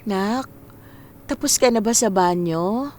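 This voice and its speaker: A woman's voice: medium pitch, takes it slowly, darkish tone, a little hiss or hum, lightly acted. A woman playing an ailing, tender middle-aged mother who pleads hesitantly, in radio drama style. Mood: hesitant, hopeful.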